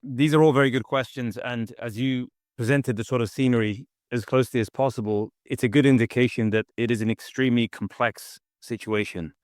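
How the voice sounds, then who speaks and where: Fast-talking male expert guest. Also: medium pitch, fairly even intonation, no echo, studio quality. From born in Turkey, grew up in the UK. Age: 40s. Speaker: expert guest, associate professor of security studies. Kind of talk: conversational expert analysis.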